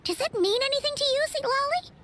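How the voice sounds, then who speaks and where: A woman voicing a tiny, childlike, chatty and opinionated fairy companion in a video game, with a squeaky way of talking. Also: animated, fast talker, very noisy. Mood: curious. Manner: refers to self in third person, squeaky.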